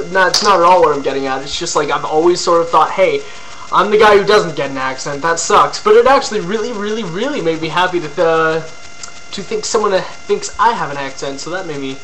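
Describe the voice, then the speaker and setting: A man's voice: on the high side, poor audio. A young man from Ontario, rambling casually to his webcam with lots of fillers and crude jokes. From Ontario, Canada. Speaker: vlogger. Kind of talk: casual rambling video blog.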